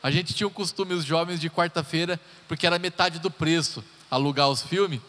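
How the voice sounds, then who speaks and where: Guy in his 30s preaching, kinda high voice, joking around w the church, big hall echo. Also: brisk, a bit bright. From Brazil. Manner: chatty and joking, talks straight to the congregation. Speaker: pastor. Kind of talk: sermon introduction, personal stories. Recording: live church hall reverb.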